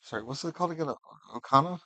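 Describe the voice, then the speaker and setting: A man's voice: a little high, expressive, quick pace, gravelly, bright, forceful tone, dry recording. Casual male host with a dry, close sound. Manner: hesitant, apologetic. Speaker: host of an online music-sharing session. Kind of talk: casual live chat.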